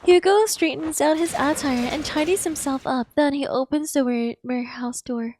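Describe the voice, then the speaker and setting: A woman's voice: high voice, normal speed, a little mellow, a little hiss or hum. A woman streaming her reactions to a game story and reading dialogue aloud, emotive, exclamatory and sympathetic.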